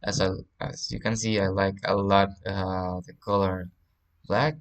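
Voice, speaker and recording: A man with a Spanish accent, a native Spanish speaker, giving a casual game tour and often pausing to search for words. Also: low-pitched, flat delivery. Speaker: let's play creator.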